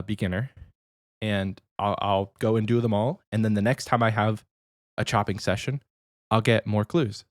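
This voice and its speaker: Man, thirties, slightly nasal from a cold, low voice, dry, casual rambling podcast talk. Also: no echo. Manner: rambling, easygoing, many restarts. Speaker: podcast co-host.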